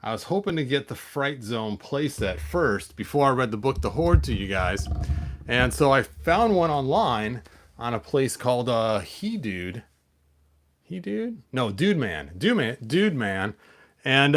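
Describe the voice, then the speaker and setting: A man's voice: medium pitch, fairly bright tone. A man hosting a toy collector channel, chatting in a rambling way with many fillers and restarts, then reading a picture book aloud.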